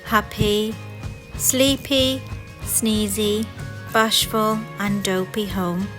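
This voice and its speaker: A woman narrating a scripted children's fairy tale in an expressive, deliberate way. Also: mid-range voice, music in the background. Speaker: storyteller.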